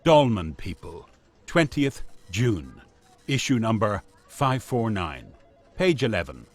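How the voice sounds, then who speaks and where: A man's voice: fairly low pitch, sing-song, faint music behind, heavily acted. A man playing a formal narrator in a radio play, reading out in a dry, official manner. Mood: official, detached. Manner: dry, official, measured wording. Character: formal male narrator reading factory reports and stage directions.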